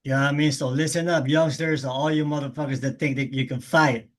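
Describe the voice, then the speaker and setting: A male host running a casual interview in heavy slang. His questions come out loose and halting, with some restarts. His voice sits slightly high. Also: fairly even intonation.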